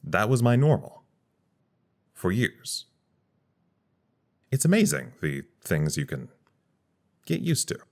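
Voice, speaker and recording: Actor as tired stranded guy doing a log, real deep n slow, wry, musing, audio drama. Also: wildly swooping pitch, a little mellow, heavily acted. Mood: musing, weary. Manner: musing, wry, pausing between thoughts. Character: weary, reflective man stranded at a secret research facility.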